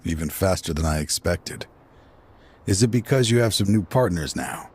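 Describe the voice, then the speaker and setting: An actor playing a weary, regretful older man in a video game, a grieving father who sighs and talks slowly. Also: deep voice, animated, a little mellow, breathy edge. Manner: weary, slow, sighing. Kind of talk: game cutscene voice acting.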